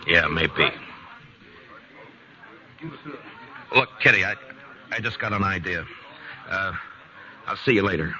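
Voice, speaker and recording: An actor playing a steady, weary frontier marshal in a 1950s radio western, speaking in a slow, measured, halting way. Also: male voice, low-pitched, crowd noise, lightly acted. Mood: firm. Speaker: lead of the show. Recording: dull lo-fi old radio recording with music.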